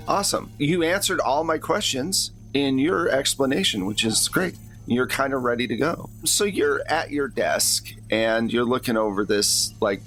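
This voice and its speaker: A man's voice: mid-range voice, a bit bright. A man narrating a tabletop roleplaying game as game master in a casual, conversational way.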